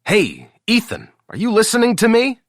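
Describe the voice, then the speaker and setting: A man voicing a brisk young male coworker who teases and needles in quick, chirpy bursts. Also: high-pitched, huge pitch swings, fairly bright tone, no echo, clean studio sound, pitched above the performer's own voice, heavily acted. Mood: teasing.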